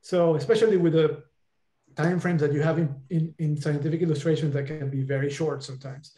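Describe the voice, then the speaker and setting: Guest paleoartist, man from Venezuela; mellow, slightly high voice, halting, echoey bedroom video call. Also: fairly even intonation. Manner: halting, many fillers and restarts. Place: bedroom at home. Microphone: video call with earbuds.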